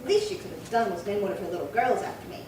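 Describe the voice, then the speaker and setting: A woman's voice: mid-range voice, animated, rapid-fire, close to an everyday voice. A woman playing a resentful, defiant seventy-nine-year-old spinster in an amateur old-time radio crime drama, querulous and emphatic. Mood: aggrieved. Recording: roomy echo, distant mic, faint hiss.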